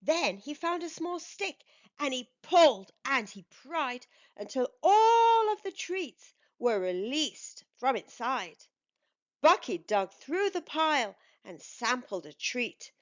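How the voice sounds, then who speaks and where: A woman reading a children's storybook aloud as a storytime host, with measured, expressive delivery. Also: high-pitched, dull audio.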